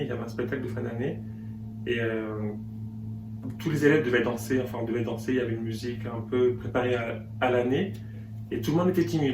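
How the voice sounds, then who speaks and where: Young guy from french guiana, stops and restarts a lot, kinda noisy background. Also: mid-range voice. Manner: hesitant, many restarts and fillers. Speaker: interview guest. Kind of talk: conversational interview. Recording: echoey room with noise.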